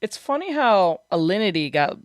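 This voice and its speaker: A man with a high, boyish voice, rambling and poking fun at himself while reacting to clips on stream. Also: big pitch swings, moderate pace, no echo, studio quality. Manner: rambling, self-deprecating, laughs often. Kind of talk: casual reaction commentary. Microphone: desk microphone.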